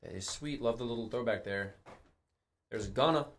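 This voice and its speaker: A man's voice: medium pitch, normal speed, noisy background. Young man, live card break host, casual, chatty, calls out pulls. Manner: casual, calls out cards, excited on big hits. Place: studio with card boxes.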